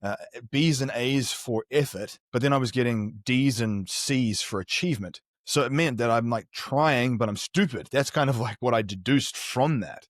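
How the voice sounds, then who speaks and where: A man's voice: medium pitch, moderate pace, few pauses, dry recording, studio quality. A middle-aged man with a New Zealand accent, telling his life story as a podcast guest in an earnest, reflective way. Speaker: performance strategist guest. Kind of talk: candid podcast interview.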